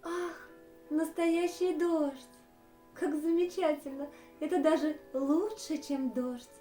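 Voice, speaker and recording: A woman voicing a gentle, wistful female duck in a sighing, exclamatory storytelling manner. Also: sits high, slow. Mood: delighted. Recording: roomy echo, faint music.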